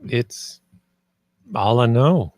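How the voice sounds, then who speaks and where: Man reading a slow, downcast space worker. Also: medium pitch, lively intonation, darkish tone, dry recording. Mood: subdued. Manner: terse, subdued replies. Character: injured, wary, downcast male space wreck assessor. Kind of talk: screenplay table read.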